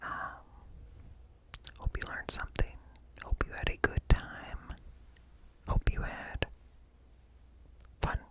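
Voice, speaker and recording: A man reading informational text in a slow, hushed, breathy whisper for ASMR, close to the microphone. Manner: slow, deliberate, hushed, broken into short phrases. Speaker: ASMR host. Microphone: close ear-to-ear microphone.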